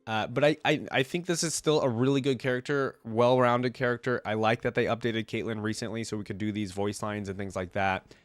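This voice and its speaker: A man's voice: medium pitch, fairly even intonation, brisk, hardly any pauses, no echo. A man with a cold, chatting casually and in a rambling way to his viewers as a reaction video host. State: congested with a cold. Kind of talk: casual rambling chat to viewers about a game.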